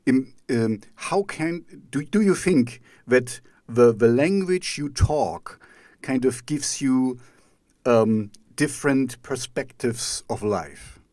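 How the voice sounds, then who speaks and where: A man's voice: medium pitch, lively intonation, slow pace, darkish tone, no room sound. A man with a German accent hosts a casual talk show in a mid-range voice. He rambles and hesitates, approaching each question from several sides. The sound is very dry, with no room around it. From East Germany. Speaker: radio talk show host. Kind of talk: casual conversational interview.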